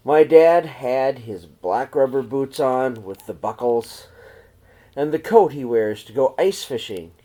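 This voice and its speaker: A man narrates a children's storybook aloud in a medium-pitched voice. His intonation rises and falls widely, giving the read-aloud an expressive, dramatized feel suited to young listeners. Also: takes it slowly.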